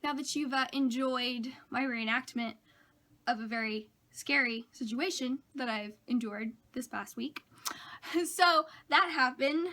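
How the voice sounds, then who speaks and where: Hoarse, bright-voiced chatty young woman. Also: high voice, a little slow. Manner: chatty, self-mocking, animated storytelling. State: hoarse from a cold. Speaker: vlogger. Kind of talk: casual chat to camera.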